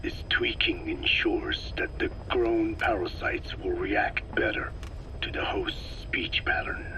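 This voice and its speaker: An actor playing a grave, learned elderly male scientist with a breathy, whispery rasp, explaining slowly and solemnly in a video game. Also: on the low side. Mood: measured, wise. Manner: slow, measured, solemn explaining. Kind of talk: video game voice acting, recorded briefing. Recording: noisy cassette tape briefing.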